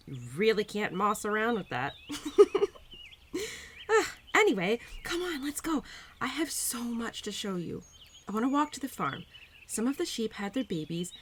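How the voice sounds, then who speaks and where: A woman's voice: a little high, a little hiss or hum. A woman playing a bubbly, affectionate young spirit of Spring in a roleplay audio drama, talking to the listener in a gushing, giggly, teasing way. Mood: playful teasing, then excited, delighted.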